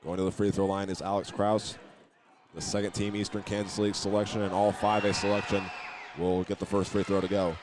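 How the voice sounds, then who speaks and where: Low, even-toned man calling basketball. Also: brisk. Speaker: play-by-play announcer. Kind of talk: live high school basketball radio commentary. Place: indoor school basketball gym. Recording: live gym broadcast with clear background noise.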